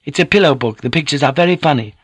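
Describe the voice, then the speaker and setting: Man voicing a high, polite woman. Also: fast, breathy edge, no room sound. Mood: eager, coaxing. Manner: polite, apologetic, careful foreign-sounding English. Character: gentle, deferential Japanese woman, earnest and coaxing. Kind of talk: audiobook character voice.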